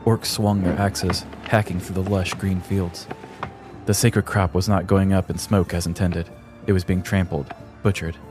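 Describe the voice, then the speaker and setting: A man with a low voice narrates a scripted comic true-crime tale. His delivery is dramatic, in the manner of a storybook. Faint music plays underneath. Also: level tone, a little slow. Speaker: podcast host.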